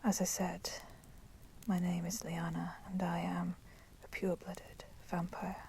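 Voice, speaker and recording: A woman voicing a proud, resentful female vampire in a whispered ASMR roleplay, speaking softly and deliberately in formal, menacing phrases. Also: on the low side, completely flat delivery. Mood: bitter, proud. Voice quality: soft, breathy whispering. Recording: constant crackling fire noise behind voice. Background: crackling fire.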